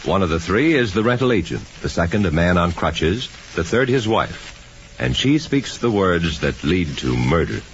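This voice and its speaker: Theatrical low-voiced old radio announcer, man. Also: big pitch swings, moderate pace, slight background noise. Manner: dramatic, theatrical. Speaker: announcer and narrator. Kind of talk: 1940s radio scripted narration. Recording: dull old radio recording with music.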